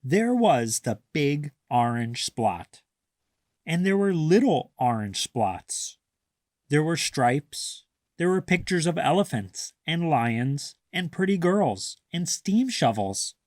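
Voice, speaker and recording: A man narrates a children's picture book in a mid-pitched voice that moves up and down in lively swings. He takes his time, reading deliberately and expressively, and the sound is close and dry. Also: no echo. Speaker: storybook reader. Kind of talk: children's read-aloud narration.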